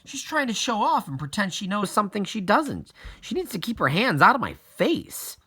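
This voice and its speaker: A man's voice: high voice, wildly swooping pitch, moderate pace, pushed and bright, breathy edge, voice pushed up, exaggerated voice. A man voicing a stubborn, indignant schoolgirl in a children's audiobook, protesting emphatically. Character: stubborn, indignant schoolgirl who argues back.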